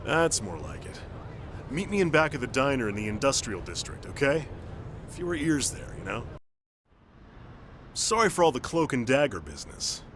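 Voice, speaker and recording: A man's voice: medium pitch, sing-song, normal speed, pauses often, background noise, close to an everyday voice. An actor playing a cocky, wheedling American soldier in a game, slangy and cajoling as he talks people round. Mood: conspiratorial. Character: cocky, wheedling male soldier pitching shady tips. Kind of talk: game cutscene acting. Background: faint music.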